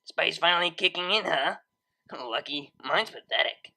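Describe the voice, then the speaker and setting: A woman's voice: low voice, lively intonation, moderate pace, vocal fry, pushed and bright, heavily acted. A woman voicing a brash, playful young female unicorn DJ who talks in a casual, slangy, teasing way. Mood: laid-back. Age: young adult.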